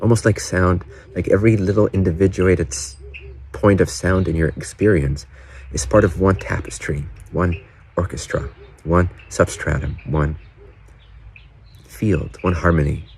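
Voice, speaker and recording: Low-pitched man guiding a meditation. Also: steady tone, a little mellow, breathy edge. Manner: unhurried, pausing between short phrases. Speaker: meditation guide. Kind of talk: guided meditation. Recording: birdsong and faint outdoor ambience behind. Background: doves, frogs, birds and distant cars.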